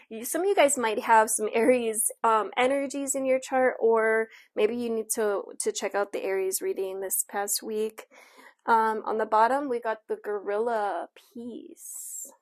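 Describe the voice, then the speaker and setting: A woman's voice: fairly high pitch, a little slow. A woman hosting a tarot and astrology reading in a casual, conversational way, often checking in with the listener and offering reassurance. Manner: conversational, reassuring asides, frequently checks in with "okay". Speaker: tarot and astrology reader, show host. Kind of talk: casual intuitive oracle card reading.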